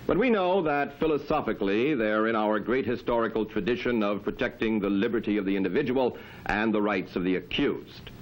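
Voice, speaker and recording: A man speaking as a police chief, giving a formal, measured, official statement. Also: on the low side, poor audio.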